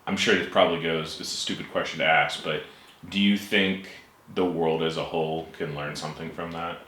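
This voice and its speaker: Low, bright-toned host. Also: male voice, flat delivery, brisk. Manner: warm, hesitant, many ums and restarts. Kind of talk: casual interview. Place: room with bookshelves, at a table. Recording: echoey room with faint hiss.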